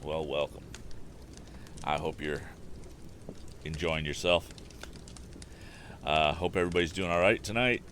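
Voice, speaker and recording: A man's voice: rumbling low, unhurried, long pauses, a bit bright. A man hosting a storytime livestream, reading and chatting casually into a microphone. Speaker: livestream storytime host, reader. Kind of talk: animated book reading with casual asides. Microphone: streaming microphone. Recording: faint background noise on livestream.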